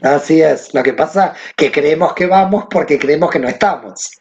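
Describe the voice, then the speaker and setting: A man's voice: fairly high pitch, moderate pace, lo-fi sound. A man from Argentina, a guest who teaches, explaining ideas in conversation and asking rhetorical questions. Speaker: guest, Kabbalah teacher and author.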